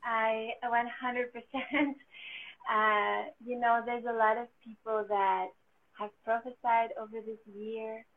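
A woman's voice: a little high, little pitch movement, slow pace. French-accented woman on a tinny line. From France. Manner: laughs often, hopeful and faith-filled. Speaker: guest. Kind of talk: casual conversation.

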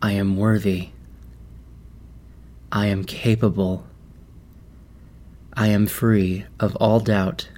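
A man leading a guided meditation in a measured, deliberate manner with long pauses. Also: fairly low pitch, level tone, a little mellow.

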